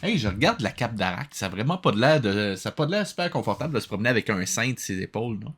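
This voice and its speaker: Homme québécois au ton sarcastique. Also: male voice, lowish voice, big pitch swings, quick pace, a bit bright, faint music behind. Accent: Quebec French. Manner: sarcastic, nitpicking, mixes in English phrases. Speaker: host of a cartoon review show. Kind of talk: sarcastic reaction commentary.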